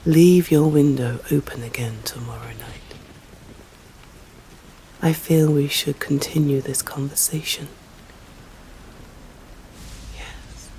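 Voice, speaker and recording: Slow, stern English vampire woman, acted out by a woman. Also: rumbling low, a little mellow, a little hiss or hum, low-quality recording. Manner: slow, formal, deliberate, often dropping into whispers. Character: stern, proud, hungry female vampire, intrigued by a human. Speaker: voice actor. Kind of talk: ASMR roleplay, scripted dramatic monologue.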